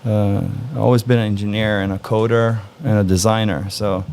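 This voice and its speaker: Interview guest, male, from Norway, gravelly low voice, easygoing and thoughtful. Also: slight background noise. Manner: relaxed, thoughtful, frequent "uh" pauses. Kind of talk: casual interview answers.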